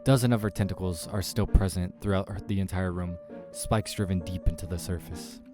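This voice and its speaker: Low-voiced man narrating a horror game. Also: steady tone, a little fast. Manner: stumbles over words and rereads them. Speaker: let's player reading a visual novel aloud. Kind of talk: dramatic narration of game text, voicing characters. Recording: music under the voice. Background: game background music.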